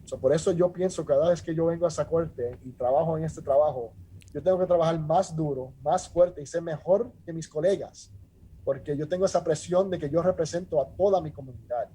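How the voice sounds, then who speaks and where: A middle-aged man from Rico, a judge talking earnestly in a measured way with many restarts and filler sounds. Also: fairly high pitch, mellow, breathy edge, rough recording. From Puerto Rico. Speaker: judge, interview guest. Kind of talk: casual interview talk.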